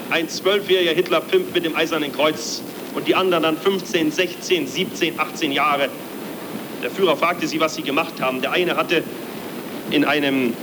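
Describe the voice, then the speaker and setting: A man's voice: fairly high pitch, background noise. A man delivering a wartime propaganda speech in an emphatic, declamatory manner on an archival recording. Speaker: Nazi party political speaker.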